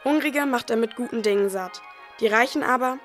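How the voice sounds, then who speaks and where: A devout, wondering young mother-to-be , acted by a woman, tells her story in a fairly high voice. It is a nativity radio play monologue, with some music behind her. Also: brisk, a bit bright, lightly acted. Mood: awed, happy.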